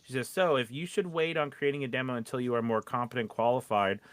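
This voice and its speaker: A man's voice: medium pitch, fast, clean tone, dry recording. A man hosting a casual discussion, giving informal advice and often rephrasing as he goes. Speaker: podcast host.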